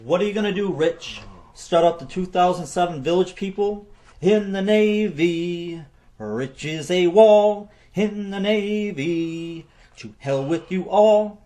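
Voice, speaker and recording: A man's voice: high-pitched, slow, dark tone. A man in his twenties playing a brash young man who taunts his roommate in a mocking, sing-song chant. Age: 20s.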